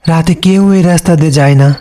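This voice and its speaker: A man's voice: on the high side, brisk, mellow, a little hiss or hum, natural voice. A man playing an ominous passerby in a cartoon horror story, giving urgent, foreboding warnings.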